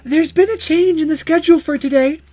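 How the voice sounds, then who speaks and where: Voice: high-pitched, fairly quick, darkish tone, pitched above the performer's own voice, close to an everyday voice. A let's player voicing a stern, awkward female teacher from a visual novel, making formal announcements over game music. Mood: prim, official.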